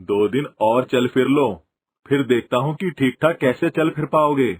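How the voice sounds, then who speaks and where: An actor playing a wily, grumbling but soft-hearted village father in a cartoon moral story, argumentative and wry. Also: medium pitch, measured pace, slight background noise, natural voice. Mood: sly.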